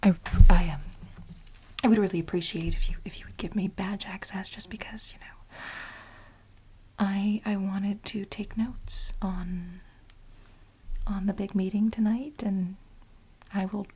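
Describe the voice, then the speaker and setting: A woman whispers softly through an ASMR role play as a fawning assistant with a crush. Her voice is extremely breathy and dark-soft, at a middling pitch. She coaxes haltingly, doubling back on her phrases. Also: fairly even intonation. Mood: hesitant, ingratiating. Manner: halting, coaxing delivery with repeated phrases. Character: obsessive, fawning female lab assistant with a crush.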